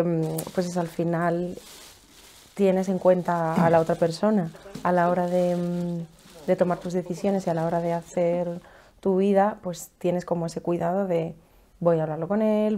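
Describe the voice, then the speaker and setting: A woman's voice: lowish voice, level tone, warm tone, slight background noise. A woman in her thirties from La Mancha, thoughtfully describing her relationship in a home interview. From La Mancha, Spain. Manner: thoughtful, measured, some humming pauses. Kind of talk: personal account. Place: living room at home, indoors.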